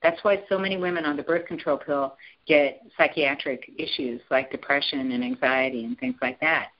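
A woman guest in an interview, talking with emphatic enthusiasm and often jumping up in pitch to stress a point. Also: steady tone, normal speed, lo-fi sound. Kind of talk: animated interview talk.

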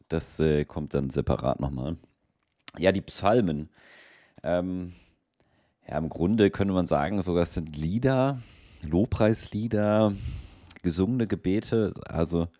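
A man co-hosting a casual theological podcast, explaining in a relaxed way and thinking aloud with many fillers. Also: very deep voice, no room sound, clean studio sound. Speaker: podcast co-host.

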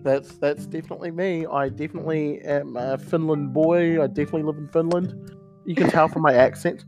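A man's voice: on the high side, fairly even intonation, brisk, a little mellow, soft background music. A young man co-hosting a casual joking podcast, rambling with lots of fillers and frequent laughter. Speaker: podcast co-host.

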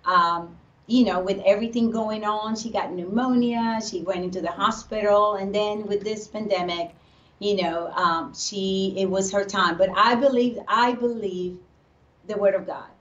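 A woman's voice: mid-range voice, steady tone, fairly bright tone. A woman from Puerto Rico, a pastor's wife, talking earnestly about her faith as a guest in a casual interview. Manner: earnest, faith-filled, speaks slowly with restarts. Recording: echoey, distant, dull remote audio.

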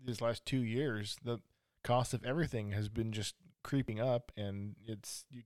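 A man's voice: medium pitch, steady tone, unhurried, darkish tone, dry recording, clean studio sound. A man interviewing a friend in a casual conversation, agreeable and mostly giving short replies. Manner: agreeable, brief backchannel replies.

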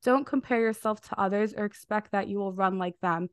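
A woman's voice: medium pitch, brisk, clean tone, no echo, clean studio sound. A young adult woman hosting a podcast interview, sounding a little nasal from a recent illness, enthusiastic with frequent fillers. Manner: warm enthusiastic, many fillers. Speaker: podcast host.

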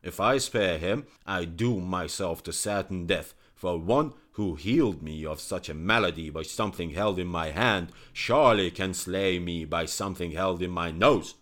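A man's voice: deep voice, takes it slowly, hardly any pauses. A man voicing a wrathful, suspicious king from an old tale, declaiming in archaic speech. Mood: insistent.

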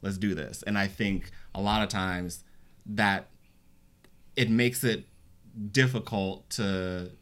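A man chatting casually in a group discussion, rambling and repeating himself, and turning animated when he jokes. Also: fairly low pitch, takes it slowly, pauses often.

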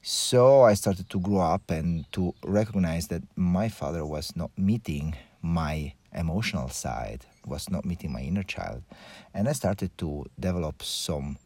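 A man with a low voice and an Italian accent speaks alone as a podcast host. He shares personal reflections in halting phrases, often restarting as he goes. The sound is dry, with very little room echo. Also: animated, a little mellow. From Italy.

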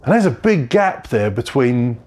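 A man's voice: medium pitch, very animated, a little mellow. Reflective pastor, in his forties. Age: 40s. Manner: reflective, halting, self-correcting. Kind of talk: personal testimony sermon.

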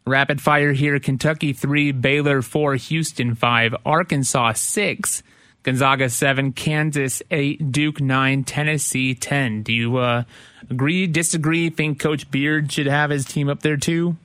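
A man co-hosting sports talk radio, speaking in a brisk, to-the-point way. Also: on the high side, steady tone, a bit bright, no echo, studio quality. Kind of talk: sports talk, reading out rankings.

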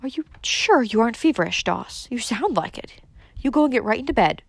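A woman's voice: mid-range voice, very animated, fast talker, no echo, lightly acted. A woman voicing a fussy, meddling older female cousin in a storybook, nagging and easily alarmed. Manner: nagging, exclamatory.

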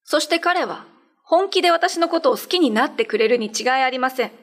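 A woman's voice: sits high, animated, a little fast. A woman playing a young shapeshifting female cat, devoted and secretly savage, with polite, earnest diction in a radio drama. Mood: earnest, defiant.

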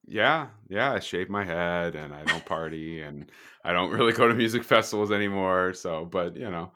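A man hosting a podcast in relaxed casual chat, rambling with fillers and trailing off. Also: low-pitched, normal speed. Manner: laid-back, rambling, many fillers and trailing off. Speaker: podcast host.

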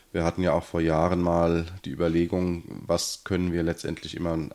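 A very deep-voiced man in his forties talks as a podcast guest. He speaks in a measured way and pauses often with fillers. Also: a little mellow. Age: 40s. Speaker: city council member, guest. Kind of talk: podcast interview.

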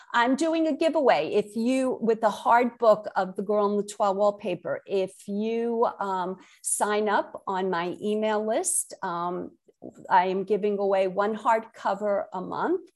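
A middle-aged woman with a Brooklyn accent, speaking conversationally as an author and guest in an interview. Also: medium pitch. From Brooklyn, New York.

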